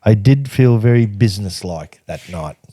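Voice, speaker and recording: A man's voice: fairly low pitch, lively intonation, moderate pace, warm tone, breathy edge, no room sound. An older man reading from his old travel journal and telling the stories behind it in a casual, chatty way, laughing often. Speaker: podcast guest recounting travels.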